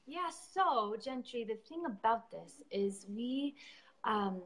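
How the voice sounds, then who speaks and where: A woman's voice: fairly high pitch, lively intonation, slow. A woman organizer speaking in a live-stream conversation, earnest and effusive, with lots of ums and restarts. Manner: earnest and effusive, with many fillers. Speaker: event organizer.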